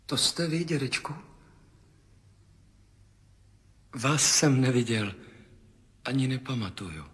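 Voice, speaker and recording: A husky, awed space traveller. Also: male voice, medium pitch, lively intonation, a bit bright. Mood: hushed, pleading. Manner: breathless, awed, urgent describing visions. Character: astronaut Tom, adult man, anxious and searching. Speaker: narrating lead character. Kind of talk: 1970s radio drama acting.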